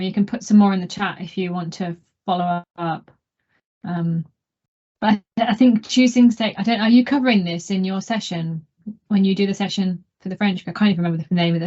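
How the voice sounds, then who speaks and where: A woman moderates an online seminar over a video call, chatting and rambling as she takes questions. Her voice is middle-pitched, and the audio is dull and muffled. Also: fairly even intonation, a little fast, darkish tone. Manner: chatty, rambling, self-deprecating. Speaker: webinar chair.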